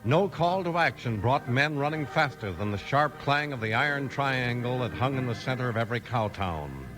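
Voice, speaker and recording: A man's voice: mid-range voice, animated, moderate pace. A man announcing and narrating in a hearty, emphatic, polished 1950s radio style. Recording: vintage 1950s radio broadcast. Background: music.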